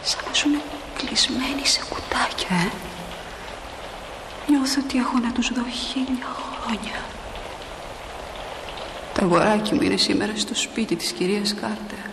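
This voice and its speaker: An actress playing a newly dead young mother in a stage drama, restless, tender and plaintive, often hushed and breathy. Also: a little high, long pauses, whispered, close to an everyday voice. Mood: wistful, hushed. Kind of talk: emotional stage drama acting. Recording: noisy, echoing hall recording of a play.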